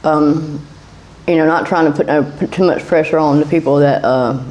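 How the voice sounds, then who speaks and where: Woman in her 40s, from south carolina, flat mellow voice, hesitant talk. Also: low voice, poor audio. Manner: hesitant, halting with many fillers. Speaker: guest. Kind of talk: casual interview answers.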